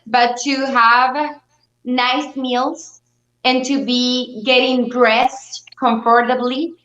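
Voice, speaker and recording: A woman's voice: high-pitched, level tone, extremely slow, fairly bright tone. A young woman from Bolivia answering questions conversationally as an interview guest, on roomy, muffled audio. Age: young adult. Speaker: interview guest, beauty pageant titleholder.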